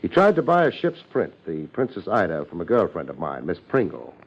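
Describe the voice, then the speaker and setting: A breezy, wisecracking city private eye in a vintage radio drama. His low voice moves up and down freely as he banters, wry and unhurried in temper. The sound is muffled, like an old broadcast. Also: wildly swooping pitch, slightly rough, exaggerated voice. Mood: dry, unruffled. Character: laid-back wisecracking male private detective from the city. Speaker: radio actor playing the lead. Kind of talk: 1950s radio detective drama acting.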